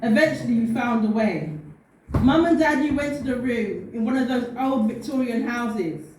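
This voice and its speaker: A woman recites personal spoken-word poetry in a fairly high voice. Her delivery is deliberate and heartfelt. She sounds some way from the mic in a very reverberant room. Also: darkish tone. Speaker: poet. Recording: very echoey room, distant mic, faint noise.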